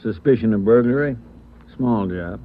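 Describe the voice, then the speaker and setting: A man's voice: lowish voice, normal speed, slight background noise, close to an everyday voice. A man acting a plainspoken, casual American police officer in a 1940s radio drama. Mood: matter-of-fact. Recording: tinny 1949 radio recording.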